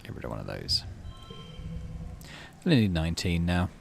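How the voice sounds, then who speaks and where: A man's voice: low voice, big pitch swings, moderate pace, vocal fry, faint music behind. A man casually commentating on a game, thinking aloud in murmured asides and reading story text out. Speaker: gaming channel host.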